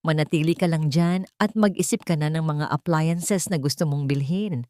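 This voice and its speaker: A woman's voice: deep voice, moderate pace, darkish tone, dry recording, studio quality, natural voice. A woman voicing a scheming, sweet-talking daughter-in-law in a dramatic story, coaxing and bossy. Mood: excited, bossy.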